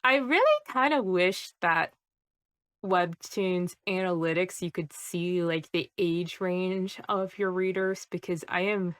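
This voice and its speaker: A woman's voice: lowish voice, slow pace, fairly bright tone, smooth, no echo. A woman in her early twenties hosting an interview, asking friendly, curious questions in casual chat. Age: early 20s. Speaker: interview host, webcomic YouTuber.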